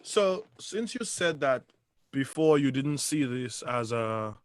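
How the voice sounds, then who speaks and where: A man's voice: mid-range voice, animated, takes it slowly, no room sound. A man co-hosting an interview, asking direct, curious questions. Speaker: co-host. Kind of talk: interview chat.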